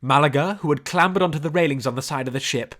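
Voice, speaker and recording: A man reading scripted story narration in a measured storybook delivery. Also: fairly high pitch, a bit bright. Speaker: audiobook narrator.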